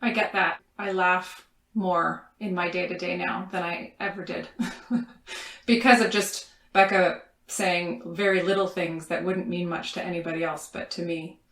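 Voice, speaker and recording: A woman's voice: lowish voice, steady tone, normal speed, fairly bright tone. A woman, co-hosting a casual interview in a reflective, roundabout way, recorded in a roomy space. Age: 40s. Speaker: podcast co-host, interviewer.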